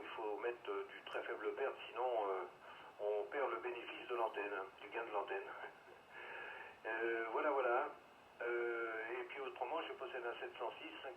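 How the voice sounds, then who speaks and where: Man, lowish voice, halting casual radio operator, two-way radio sound, faint noise. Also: lo-fi sound. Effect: two-way radio transmission. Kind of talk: casual radio group exchange. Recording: noisy band-limited radio with echo.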